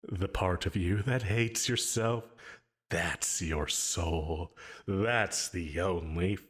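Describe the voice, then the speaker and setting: A man's voice: low voice, breathy edge, exaggerated voice. A man voicing a possessive, gleefully menacing sleep paralysis demon in an ASMR audio roleplay, taunting the listener. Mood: ominous musing. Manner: slow, drawn-out taunting with dark chuckles. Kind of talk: ASMR yandere roleplay, dramatic monologue.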